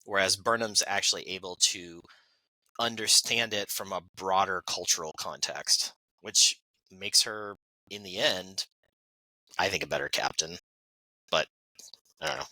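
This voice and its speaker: A man's voice: low voice, measured pace, pauses often, sharp tone, dry recording, poor audio. A man on a podcast panel sharing an opinion tentatively, hedging with "I think" and "I don't know". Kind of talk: casual chat.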